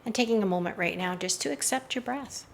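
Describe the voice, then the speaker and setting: A woman leading a slow guided meditation in a measured, hushed voice that often softens into breathiness, recorded outdoors. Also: medium pitch, vocal fry, slight background noise. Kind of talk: slow, soothing guided meditation with hypnotic induction. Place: outdoors in a garden. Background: faint outdoor garden ambience.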